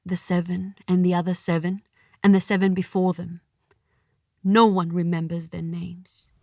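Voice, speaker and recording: A woman's voice: on the low side, flat delivery, moderate pace, darkish tone, no echo, clean studio sound, natural voice. A woman playing a sombre chorus figure of warning and lament in a poetic tragedy, grave and rhetorical. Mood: mournful.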